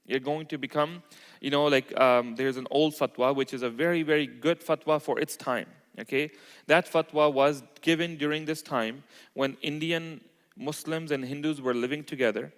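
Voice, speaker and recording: A man's voice: on the high side, fairly even intonation, normal speed. A man giving an informal religious lecture to a congregation, explaining step by step and often checking in with short tag questions. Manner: explanatory, checks in with "okay" and "right". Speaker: Islamic lecturer at a community mosque. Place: large reverberant indoor hall. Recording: echoey hall with long reverb.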